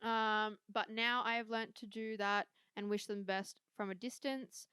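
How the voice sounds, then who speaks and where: A young woman talks through a casual podcast chat, full of fillers and offhand swearing. Her voice is smooth and clear, recorded close and dry with no room sound. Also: fairly high pitch, steady tone, measured pace, pushed and bright, dry recording. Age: young adult. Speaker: podcast co-host.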